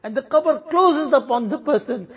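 A man leading a congregation in an impassioned supplication prayer, pleading and closing each plea with a soft trailing refrain. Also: very high voice, expressive, normal speed.

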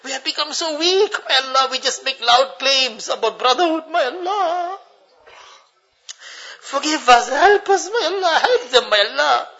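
A man's voice: extremely high pitch, animated, moderate pace, pushed and bright. Older man pleading in tearful prayer. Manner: pleading, tearful, repeats each plea with a refrain. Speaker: imam leading a supplication. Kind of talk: emotional congregational prayer. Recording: dull lo-fi recording.

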